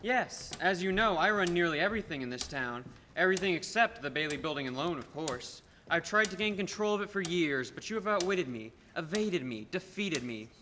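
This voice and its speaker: A young man acts out a rich, scheming businessman for a radio play. His male voice is sharp and fairly high, smooth-talking yet domineering and patronizing. It carries through a reverberant hall with faint noise behind it. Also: lively intonation. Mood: smug, calculating. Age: young adult. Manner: patronizing, persuasive, deliberate emphasis. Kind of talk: filmed radio play acting. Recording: echoey hall, background noise.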